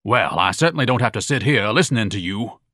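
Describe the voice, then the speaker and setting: A man's voice: lowish voice, animated, fast, bright, forceful tone, no room sound, clean studio sound. Man doing a pompous, bellowing eccentric. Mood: haughty. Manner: bombastic, grandiloquent, scolding. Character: pompous huge eccentric man, lofty and indignant.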